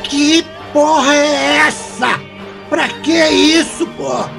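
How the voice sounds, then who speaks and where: An actor playing an angry, foul-mouthed middle-aged father in an audio drama, gruff and confrontational. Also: very high voice, fairly even intonation, takes it slowly, music in the background, full character voice. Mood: defiant alarm.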